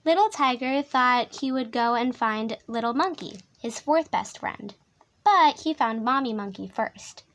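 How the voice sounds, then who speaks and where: High pitched lady reading a kids bedtime book, soothing and expressive. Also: measured pace. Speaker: storyteller reading a children's book aloud. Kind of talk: animated children's storybook reading.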